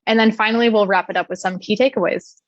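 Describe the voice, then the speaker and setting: A woman's voice: medium pitch, fairly even intonation, quick pace, dry recording. A woman presents research at a security conference, talking through her slides with frequent "uh" and "um". The recording is dry, with no room sound, and slightly dull and muffled. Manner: clear explanatory delivery with frequent "uh" and "um". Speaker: conference speaker.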